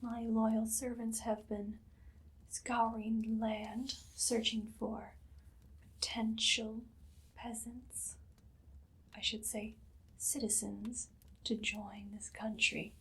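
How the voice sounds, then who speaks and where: A woman voicing an imperious, menacing evil queen in a whispered ASMR roleplay, speaking softly and deliberately with a condescending, commanding air. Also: a little high, level tone, heavily acted. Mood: smug, scheming. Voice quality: breathy, soft, much of it whispered.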